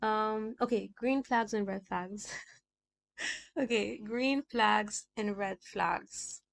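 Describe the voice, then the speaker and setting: A woman's voice: mid-range voice, extremely slow, creaky. A young woman from Sudan, a native Arabic speaker with a mixed American and British accent, hosting a casual solo podcast and rambling from thought to thought. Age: young adult. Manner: rambling, chatty, jumps between thoughts. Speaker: podcast host. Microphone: small desk mic.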